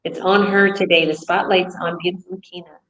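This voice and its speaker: A woman's voice: on the low side, little pitch movement, fairly quick, a crowd in the background. A woman hosting an online interview, breezy and chatty with many fillers. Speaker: interviewer, host. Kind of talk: casual video call interview. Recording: echoey, dull lo-fi audio.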